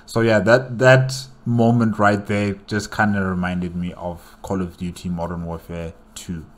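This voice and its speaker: A man's voice: deep voice, level tone, a little slow. A man giving casual, rambling reaction commentary as a video host, hesitating as he thinks aloud.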